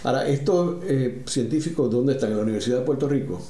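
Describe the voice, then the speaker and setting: A man puts questions to a guest in a very dark, mellow voice. He gropes for words and fills the gaps. His voice echoes in the room. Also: mid-range voice, expressive. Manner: halting questions with fillers. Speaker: interviewer. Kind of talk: interview questions.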